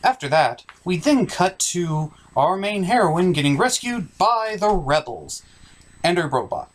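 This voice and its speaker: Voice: mid-range voice, very animated, unhurried, a little hiss or hum. A theatrical, exaggerated host narrating a scripted comedic movie recap.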